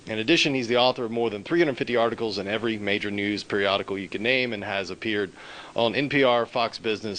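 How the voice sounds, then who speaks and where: A man's voice: mid-range voice, fairly bright tone, low-quality recording. A man moderating a conference, reading out a speaker's credits with frequent hesitations. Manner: reads a list of credits with frequent uhs. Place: conference hall.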